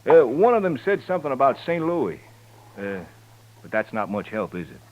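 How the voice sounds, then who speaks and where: A man's voice: mid-range voice, big pitch swings, moderate pace, darkish tone, lightly acted, low-quality recording. An actor playing a chatty male livery stable keeper in a 1950s radio drama, folksy and drawling. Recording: old radio broadcast with faint hiss.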